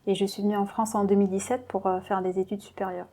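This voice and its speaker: A woman's voice: mid-range voice, flat delivery, fast talker, mellow. A young woman from Lebanon answering interview questions as a doctoral student, hesitant with many fillers and modest in tone.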